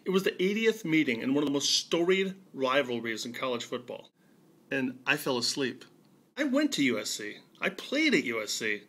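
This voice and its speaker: Animated American man in his fifties. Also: mid-range voice, normal speed. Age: 50s. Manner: wry, emphatic, rhetorical questions. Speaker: video blogger. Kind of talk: conversational personal monologue.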